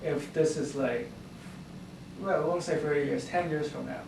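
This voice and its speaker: A man's voice: a little high, fairly even intonation, normal speed. A man interviewing a guest, rambling and restarting his questions. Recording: echoey room, far from the mic, noisy.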